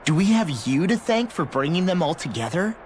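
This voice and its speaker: High-voiced, spirited young hero. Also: male voice, very animated, a little fast, slight background noise. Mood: concerned. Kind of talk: dubbed video game cutscene acting.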